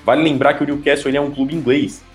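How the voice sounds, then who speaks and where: A man's voice: a little high, steady tone, fast talker, faint music behind. Man, co-host, casual chat, hesitant, restarts often, echoey room. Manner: hesitant, frequent filler sounds and restarts. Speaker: podcast co-host.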